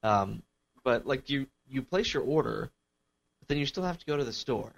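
Gravelly man with dry quips. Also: medium pitch, animated, moderate pace. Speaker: podcast co-host. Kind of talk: casual tech podcast chat. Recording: dull lo-fi sound.

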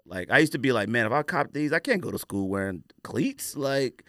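Animated man in slangy podcast banter. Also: mid-range voice, brisk, dry recording, clean studio sound. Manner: slangy, opinionated. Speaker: podcast co-host. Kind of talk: casual banter about sneakers.

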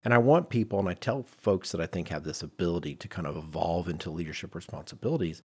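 Husky middle-aged man with a low voice. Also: animated, quick pace. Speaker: podcast host. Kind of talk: casual podcast discussion.

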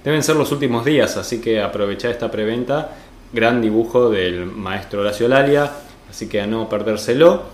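A man's voice: medium pitch, normal speed, slight background noise. A man with an Argentine accent presenting a podcast and chatting as its host. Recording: roomy echo, faint music behind.

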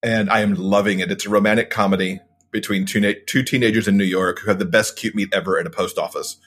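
A man's voice: lowish voice, steady tone, fast, a bit bright. A man talking as a guest author in a casual interview, telling stories and acting out his own past reactions.

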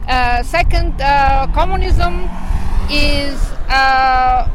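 Middle-aged woman, street interviewee, lecturing tone, many pauses; traffic noise. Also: high-pitched. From Bulgaria. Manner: measured, lecturing, frequent hesitations.

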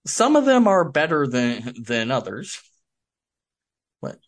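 A man speaking as a guest author in a casual interview, explaining things in a halting, rambling way. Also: medium pitch, wildly swooping pitch. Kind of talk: casual interview chat about his book.

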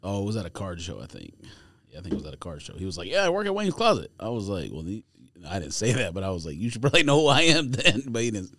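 A man's voice: fairly low pitch, big pitch swings, fast talker, fairly bright tone, no room sound. A man co-hosting a podcast, teasing and telling stories in casual slang. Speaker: podcast co-host. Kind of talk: casual chat and storytelling.

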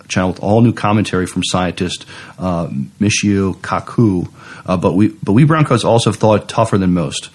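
A man co-hosting a podcast, giving casual news commentary. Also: on the low side. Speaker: podcast co-host. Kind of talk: casual news commentary, some reading aloud.

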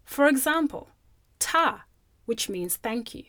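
A woman's voice: fairly high pitch, takes it slowly, no echo. Cheerful woman, dry close sound. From Zimbabwe. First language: Shona. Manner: cheerful, expressive storytelling. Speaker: presenter. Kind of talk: scripted narration for children.

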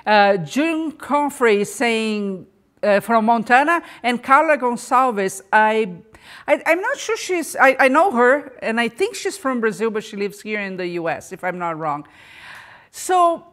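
A woman's voice: fairly high pitch, big pitch swings, measured pace, fairly bright tone. A woman hosting a live podcast interview, enthusiastic and chatty, talking over a video call. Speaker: podcast host.

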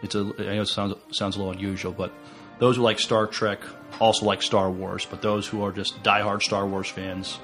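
Low-voiced man, halting casual fan talk. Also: flat delivery, a bit bright, faint music behind. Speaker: podcast co-host. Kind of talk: casual unscripted fan chat.

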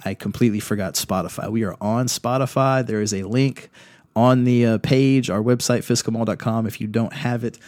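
A man hosting a podcast, his voice a little rough from recent illness, chatting casually and bluntly through show announcements with frequent fillers. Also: mid-range voice, steady tone, normal speed, a little mellow, no room sound. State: slightly rough voice after illness. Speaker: podcast host.

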